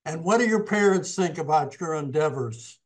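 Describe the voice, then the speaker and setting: Podcast host guy, kinda high voice, quick friendly questions. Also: animated, poor audio. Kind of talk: interview questions.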